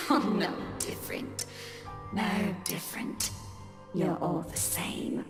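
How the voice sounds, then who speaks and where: A man voicing a cruel, mocking female ghost who taunts slowly and laughs at her victims. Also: sits high, voice pushed up. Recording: echoey with loud music.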